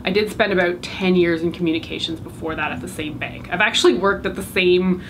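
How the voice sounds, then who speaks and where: A woman's voice: lowish voice, steady tone, a little fast, slight background noise, low-quality recording. A Canadian woman in her thirties, chatting casually to camera as a beauty vlogger, with lots of fillers and rambling asides. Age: 30s. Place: bright home room indoors.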